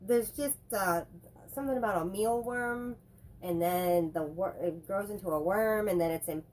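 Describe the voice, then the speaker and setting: A woman co-hosting a relaxed movie chat while fighting a head cold, her voice stuffy and congested. She rambles and jokes as she goes, never sticking to one point for long. A faint hum of noise sits behind her. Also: mid-range voice. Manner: rambling, chatty, quick to joke. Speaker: co-host. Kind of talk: casual chat reviewing movies.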